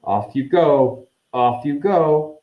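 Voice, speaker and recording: A man voicing a stern, officious teenage boy prefect in a storybook, brisk and bossy. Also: a little high, lively intonation, pitched above the performer's own voice. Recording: echoey room, dull muffled sound.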